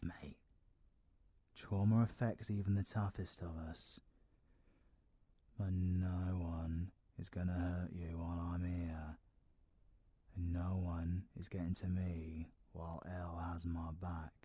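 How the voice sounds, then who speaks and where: A man's voice: deep voice, warm tone, husky, dry recording, full character voice. A British man playing a cocky, foul-mouthed yet protective supervillain in an audio roleplay. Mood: soothing, hushed. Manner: slangy, swearing, swinging from murmurs to outbursts. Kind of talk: audio roleplay, intimate ASMR acting.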